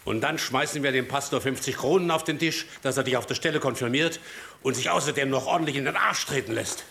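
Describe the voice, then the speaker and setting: An actor playing a poor old farmhand father in a radio play, anxious and deferential, devoted to the child, with a halting, rambling way of talking. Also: medium pitch, vocal fry, pushed and bright, a little hiss or hum. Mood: indignant. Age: older.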